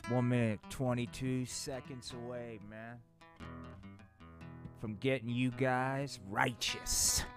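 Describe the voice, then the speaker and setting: Slow, slangy puppeteer man. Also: mid-range voice, flat delivery, music in the background. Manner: profane, slangy, tossing in "man" and "dude". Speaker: puppeteer host. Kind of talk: unscripted live stream banter.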